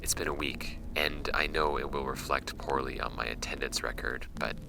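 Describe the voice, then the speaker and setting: A man playing a skeptical archivist in an audio drama, reading case files aloud in a creaky voice. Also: fairly even intonation, normal speed. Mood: hushed dread. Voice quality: creaky, very deep. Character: dry, skeptical male archivist recording case notes. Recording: music bed under the voice.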